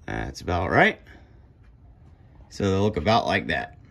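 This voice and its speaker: A young man casually walking through steps of a how-to under a carport at night, speaking in relaxed, plainspoken directions to a phone camera as he moves around. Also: low-pitched, a little slow, vocal fry, slight background noise. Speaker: kayaker demonstrating gear. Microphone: phone camera, moving around the car.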